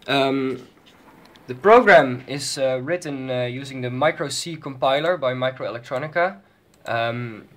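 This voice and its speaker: A man giving an informal, hesitant walkthrough of a hobby electronics project, speaking from behind a handheld camera. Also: on the high side. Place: indoors at a desk with electronics.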